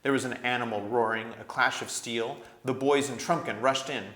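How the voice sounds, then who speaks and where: A man narrating a storybook aloud in an expressive, scripted read-aloud style. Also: medium pitch, moderate pace. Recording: echoey room.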